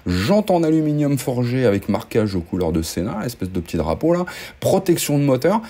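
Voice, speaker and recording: A man's voice: mid-range voice, sing-song, a little fast, warm tone. A man hosting a motorcycle news video, chatting casually with sardonic jokes, gloomy asides and lots of fillers. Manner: sardonic, joking, gloomy gravedigger tone.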